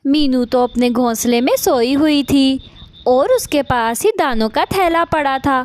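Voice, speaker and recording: A woman's voice: high-pitched, unhurried, a little mellow. A woman narrating a scripted cartoon story as its storyteller.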